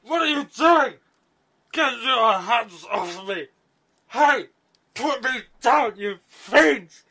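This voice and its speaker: A man's voice: extremely high pitch, expressive, slow pace, pushed and bright, slightly rough, full character voice. A man playing a haughty, blustering drunken nobleman in a fantasy audio drama. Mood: outraged, panicked. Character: pompous drunken male noble, whiny and cowardly.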